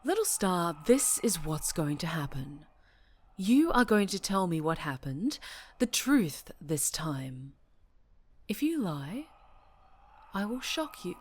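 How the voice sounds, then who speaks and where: A woman's voice: fairly low pitch, sing-song, husky, full character voice. A woman playing an obsessive, domineering superhero captor in a dramatic ASMR roleplay, speaking with volatile, condescending menace. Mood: stern interrogation. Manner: slow, menacing, condescending, breaking into whispers and shouts.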